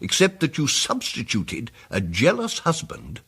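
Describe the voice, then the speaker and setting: An actor playing a mild, muddled amateur detective in a radio drama, speaking with hesitant, fussy precision and old-fashioned politeness. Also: male voice, mid-range voice, wildly swooping pitch, a little slow, fairly bright tone, breathy edge, exaggerated voice. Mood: flustered, earnest. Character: mild, muddled, apologetic male amateur detective explaining his deductions.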